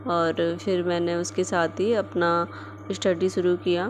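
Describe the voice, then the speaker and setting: A woman's voice: fairly low pitch, level tone, a little slow. A young woman narrates her day in a loose, chatty voice-over, stopping on fillers and mixing in English words. Her throat sounds a little sore. A faint room hum sits behind her. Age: young adult. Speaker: medical student vlogger. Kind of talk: casual vlog voice-over narration.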